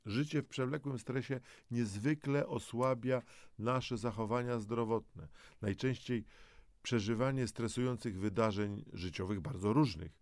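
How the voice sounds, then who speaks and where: A man presenting educational health material in a measured, explanatory way, mixing scripted narration with conversational asides to the listener. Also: medium pitch, dry recording. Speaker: presenter of a health audio programme.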